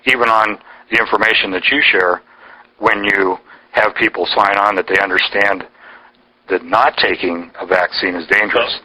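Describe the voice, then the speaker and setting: Male caller, argumentative and casual, with a fairly deep but sharp, forceful voice over the telephone. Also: fairly even intonation, moderate pace. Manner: insistent, argumentative. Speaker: caller questioning an official. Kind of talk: phone conversation, informal debate. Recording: recorded telephone call.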